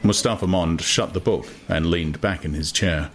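A man's voice: deep voice, moderate pace. A man narrating a literary audiobook from a script.

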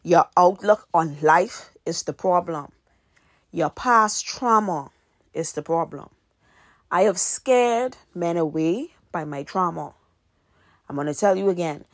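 A woman's voice: lowish voice, animated, takes it slowly. Earnest young woman giving podcast advice. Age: 20s. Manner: earnest, repeats key points for emphasis. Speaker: podcast host and author. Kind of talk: casual advice monologue.

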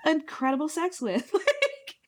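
A woman co-hosting a podcast in casual chat, slightly hoarse, laughing often and filling her talk with "like". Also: high voice, very animated, unhurried. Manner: laughing, chatty, lots of "like" fillers. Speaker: podcast co-host.

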